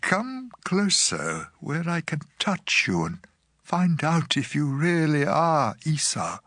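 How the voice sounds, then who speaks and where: An actor playing a frail, trembling old father near death, speaking in a slow, solemn, gravelly way. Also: on the high side, sing-song, fairly bright tone, dry recording, heavily acted. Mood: suspicious. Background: background music.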